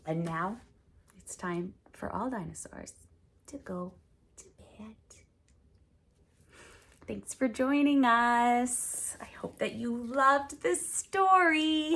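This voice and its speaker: A middle-aged woman hosting a storytime for small children, reading aloud in an expressive, exaggerated way with dramatic pauses. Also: a little high, creaky, a little hiss or hum. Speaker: host of a children's sign language storytime.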